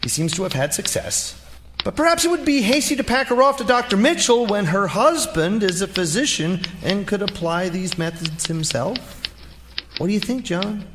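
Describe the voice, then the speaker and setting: A man playing a pompous Victorian gentleman, reading a formal letter precisely in live radio theater. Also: high voice, lively intonation, moderate pace. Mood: pompous, clinical. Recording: noisy live stage recording with clicks.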